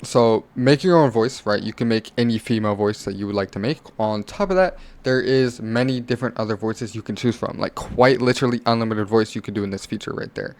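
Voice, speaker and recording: A man's voice: lowish voice, fairly quick, hardly any pauses, darkish tone. A man narrating a casual gaming tutorial in an informal, rambling way, like a content creator talking to followers.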